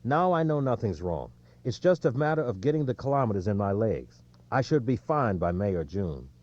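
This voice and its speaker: A narrator as a rueful, mellow-voiced male athlete. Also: lowish voice, very animated, moderate pace, no room sound. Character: weary, self-deprecating male champion cyclist, plainspoken. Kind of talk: quoted speech in audiobook narration. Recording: dull, lo-fi audio.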